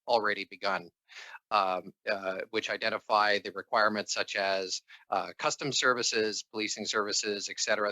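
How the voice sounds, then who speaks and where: Male civil servant at a hearing; bright, close dry sound, careful and deferential, hesitant repeated phrasing. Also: on the low side, dry recording, poor audio. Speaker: assistant deputy minister, government official. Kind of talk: formal testimony to a committee.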